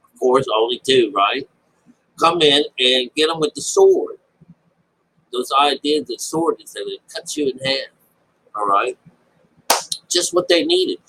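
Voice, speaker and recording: A man with a husky voice giving informal, unscripted Bible commentary, rambling, repeating phrases and checking in with his listeners. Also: mid-range voice, wildly swooping pitch, unhurried, long pauses, pushed and bright, slight background noise, rough recording. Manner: rambling explanation with frequent check-ins like "all right?" and "okay?". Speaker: Bible teacher.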